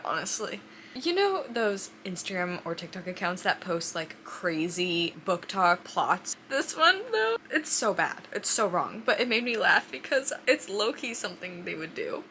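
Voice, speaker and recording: A woman's voice: fairly low pitch, sing-song, moderate pace, bright, forceful tone. Young woman book vlogger, background noise. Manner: dramatic, hyperbolic, emotive, slangy. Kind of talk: casual reading vlog, confiding chat to camera.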